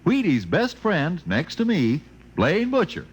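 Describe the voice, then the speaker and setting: A man's voice: a little high, wildly swooping pitch, measured pace, slight background noise. A man announcing in 1950s radio style, delivering jovial, emphatic scripted sponsor banter.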